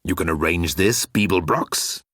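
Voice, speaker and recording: A man's voice: low-pitched, a little slow, fairly bright tone, dry recording, studio quality. A man voicing a world-weary immortal alien who insults people with disdain. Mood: bored disdain.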